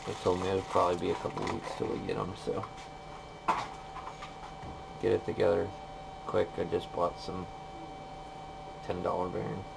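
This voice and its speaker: A man's voice: low voice, moderate pace, long pauses. A man giving a casual, plain-spoken hands-on repair walkthrough in short, informal phrases. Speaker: machinist demonstrating a repair. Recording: clear background noise, workshop ambience.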